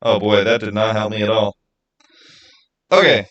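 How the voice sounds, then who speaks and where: A man hosting a livestream, answering viewers in casual, halting speech and thinking out loud. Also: on the low side, flat delivery, highs cut off. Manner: halting, thinking aloud with repeated phrases. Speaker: livestream host.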